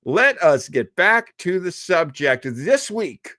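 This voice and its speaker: A man chats as host of a casual livestream, playful and jokey. His voice sits a little high and swings up and down in very lively fashion. The sound is close and dry. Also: slow pace, no echo. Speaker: host of a live old-time radio listening show. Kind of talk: casual live chat with viewers.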